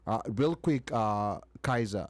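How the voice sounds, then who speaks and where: A man's voice: fairly low pitch, lively intonation, takes it slowly, no echo. A man hosting a talk show, moderating and asking long questions with many "you know" fillers. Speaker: host.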